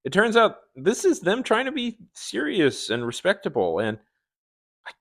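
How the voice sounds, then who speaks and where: A man's voice: sits high, wildly swooping pitch, moderate pace, creaky, fairly bright tone. Guy doing a chatty review, voice goes up and down loads, kinda wry and opinionated. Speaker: video reviewer. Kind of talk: conversational review commentary to viewers.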